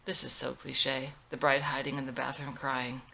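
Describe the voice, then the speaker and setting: A bitter young bride played by a woman reader, sunk in misery. Her voice drops low and sullen, heavy with self-pity, as in a family drama. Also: flat delivery, moderate pace, creaky, sharp tone, exaggerated voice, rough recording. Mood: self-mocking. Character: miserable, bitter pregnant young bride hiding away crying.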